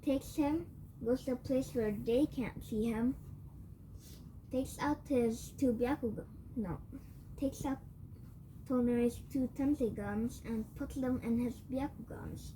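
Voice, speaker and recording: Woman storyteller, high-ish and slow, voice tired and cracking, rambles and corrects herself as she goes. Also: dark tone, a little hiss or hum, poor audio. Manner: rambling, with frequent self-corrections; clears throat often. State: voice tiring and cracking. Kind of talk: improvised fan-fiction retelling.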